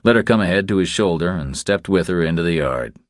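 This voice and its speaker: A man's voice: low voice, lively intonation, fast, no room sound, clean studio sound. A man reading a crime thriller audiobook as a scripted narration.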